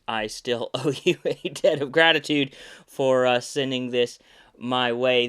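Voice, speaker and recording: A young man from Alabama hosting a podcast, telling behind-the-scenes stories in a relaxed, rambling way with many fillers. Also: medium pitch, smooth. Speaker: podcast host, puppeteer. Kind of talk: casual recorded monologue.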